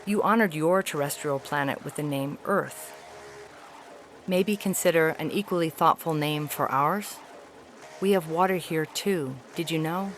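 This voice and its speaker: Woman as formal, weary alien ambassador over noise. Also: sits low. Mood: earnest wonder. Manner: formal, measured, articulate. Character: haughty, weary feline alien ambassador, disillusioned with humans. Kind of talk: dramatic reading of an epistolary science fiction story.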